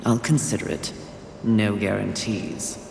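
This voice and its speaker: A woman's voice: very deep voice, steady tone, unhurried, gravelly, heavily acted. A female actor playing a proud, impatient horseman of the apocalypse in a fantasy game, curt, haughty and combative. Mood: impatient, wary. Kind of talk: dramatic fantasy video game acting. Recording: echoing reverb under game music.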